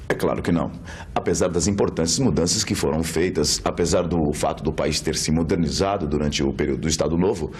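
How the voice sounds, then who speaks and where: A man's voice: very deep voice, fairly quick, darkish tone. A man with a Brazilian accent giving scripted educational narration. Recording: music bed under the voice.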